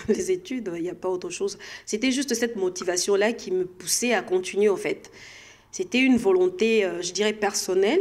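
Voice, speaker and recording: A woman's voice: medium pitch, a little mellow. A woman from the Democratic Republic of the Congo with a Congolese accent, answering interview questions conversationally and hesitantly as she searches for words. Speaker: interview guest.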